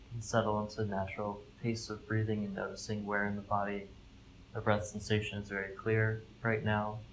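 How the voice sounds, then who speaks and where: A live recording with noticeable background noise carries a man's low voice. He guides a meditation calmly, with little melodic movement. His instructions come gently in measured phrases, with room to breathe between them. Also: low-quality recording. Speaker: mindfulness fellow, meditation guide.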